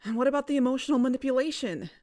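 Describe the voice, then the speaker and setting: A woman's voice: sits high, racing, a little mellow, dry recording, clean studio sound, lightly acted. A woman acting as a skeptical, stubborn questioner in an audio drama, with a pointed, incredulous manner. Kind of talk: scripted audio fiction dialogue.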